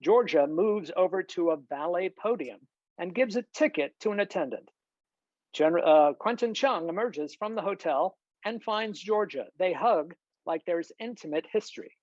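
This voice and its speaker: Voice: mid-range voice, expressive, normal speed, darkish tone, no room sound. Dry, dull-sounding screenplay narrator. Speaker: stage-direction narrator. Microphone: video call.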